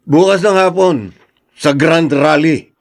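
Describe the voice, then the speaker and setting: A man's voice: fairly high pitch, takes it slowly. A man acting in a radio drama as an arrogant, bitter son and political candidate, with an emphatic, accusing delivery. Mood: haughty.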